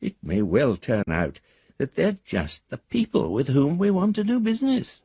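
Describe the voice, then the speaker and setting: A man's voice: mid-range voice, sing-song, normal speed, warm tone, slightly rough, no room sound, lo-fi sound. An actor playing a roguish, affectionate, well-spoken middle-aged father in a radio drama, with florid, theatrical phrasing. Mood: breezy, scheming. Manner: urbane, florid, theatrical delivery. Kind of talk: radio drama letter reading.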